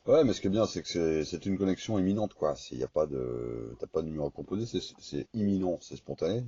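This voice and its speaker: A man speaking informally and haltingly as an amateur radio operator, in a casual chat over the air. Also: extremely low pitch, lively intonation, mellow, breathy edge. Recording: dull, echoey two-way radio recording.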